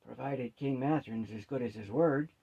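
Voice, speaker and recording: A man's voice: medium pitch, normal speed, gravelly, mellow, breathy edge, dull audio. A man voicing a weary, skeptical old dwarven priest in a storybook, sober and world-weary. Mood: distrustful.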